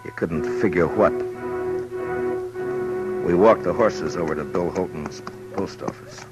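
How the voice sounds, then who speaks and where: A man with an American accent playing a stern, watchful frontier marshal narrating in an old radio western, terse and deliberate. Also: sits low. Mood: grave, measured. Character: stern, watchful male frontier marshal telling his story. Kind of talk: 1950s radio western acting, first-person narration and dialogue. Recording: vintage radio recording with music.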